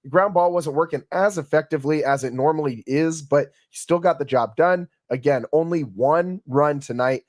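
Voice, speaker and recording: A hoarse man hosts a solo sports podcast, recapping a game in a loose, conversational way that often stumbles and restarts. His voice sits a bit high for a man. The recording is dry and close, with no room sound. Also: moderate pace, few pauses, a little mellow, no echo. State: hoarse from yelling. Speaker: podcast host. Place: home studio.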